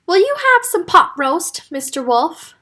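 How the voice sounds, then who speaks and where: A woman voicing a gentle, kind mother pig in a children's story. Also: high-pitched, wildly swooping pitch, normal speed, heavily acted. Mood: fond. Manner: soft and gentle.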